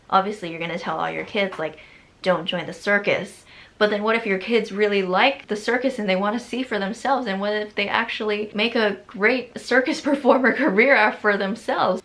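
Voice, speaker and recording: A woman's voice: medium pitch, creaky, a bit bright. A woman in her early twenties talking anxiously in a casual, rambling vlog, often laughing as she speaks. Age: 20s. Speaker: vlogger.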